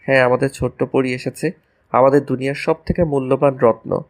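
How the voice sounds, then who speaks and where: A man acts out a young husband and new father in a romantic audio drama. He sounds anxious and devoted, speaking in a mid-pitched voice with earnest, tender emotion. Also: steady tone, brisk, natural voice. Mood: tender, emotional. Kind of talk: romantic audio story acting.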